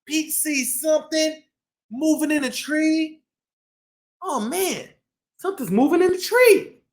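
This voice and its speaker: Animated high-pitched man reading to kids. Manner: excitable, exclamatory, drawn-out dramatic phrasing. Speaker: children's storytime host and reader. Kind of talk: animated picture-book read-aloud for kids.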